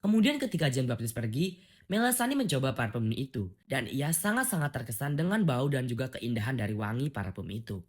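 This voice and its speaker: A man narrates a movie plot recap. His pitch sits in the middle but swings lively from high to low across each sentence, giving the retelling a rolling storyteller's rhythm. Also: fairly quick.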